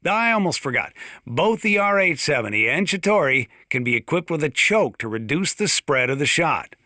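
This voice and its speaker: Bright-voiced folksy sheriff. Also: male voice, fairly high pitch, big pitch swings, normal speed, dry recording, studio quality. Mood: relaxed, boastful. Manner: folksy, chuckling, boastful, conversational. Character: folksy gun-loving small-town sheriff chatting to outsiders. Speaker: sheriff radio presenter. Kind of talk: scripted game radio monologue, gun talk.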